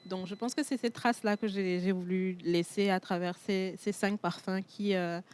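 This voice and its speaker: A woman from Togo whose first language is Tchokosi, a perfume entrepreneur speaking as a guest in a TV studio interview, pausing often on fillers. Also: medium pitch, steady tone, darkish tone, smooth. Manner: hesitant, many fillers and restarts.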